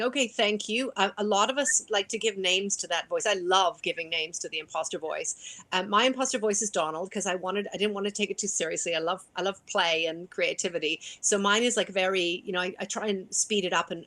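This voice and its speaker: A woman coach speaking in an interview over a video call, animated and laughing, with many restarts. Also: mid-range voice. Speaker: business coach. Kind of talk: interview conversation.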